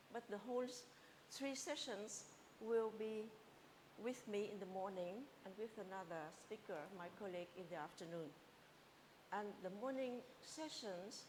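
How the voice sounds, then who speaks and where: A woman giving a meditation session in an informal, halting way, often repeating herself and restarting phrases. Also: medium pitch. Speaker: meditation teacher. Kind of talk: informal spoken teaching. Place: gathering room. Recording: reverberant hall, faint hiss.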